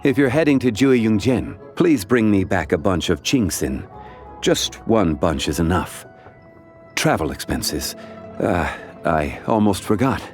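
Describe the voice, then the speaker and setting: An actor voicing a calm, courteous, dignified man of ancient wisdom in a video game, speaking formally and contemplatively. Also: low-pitched, a little slow, soft background music. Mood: polite, helpful. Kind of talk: scripted video game character voice lines.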